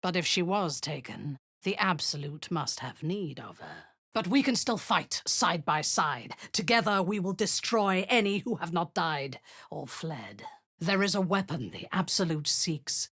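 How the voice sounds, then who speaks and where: A woman's voice: lowish voice, lively intonation, a little slow, a bit bright, breathy edge, no echo, clean studio sound, full character voice. A woman playing a cruel, zealous drow war commander in a fantasy video game, commanding and full of haughty contempt.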